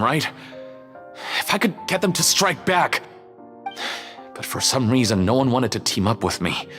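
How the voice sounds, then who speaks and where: A man's voice: mid-range voice, lightly acted. A man doing a jittery, bitter smuggler. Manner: jittery and stammering, defensive. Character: nervous, bitter male smuggler prisoner. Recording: game audio with soft music behind.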